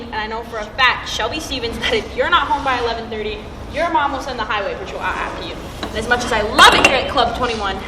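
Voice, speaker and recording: A sarcastic, blunt schoolgirl acted by a woman with a high voice. The school play is recorded with echo and noise. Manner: sarcastic, mock-announcing, blunt. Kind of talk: amateur school stage play acting. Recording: echoey stage with clear noise.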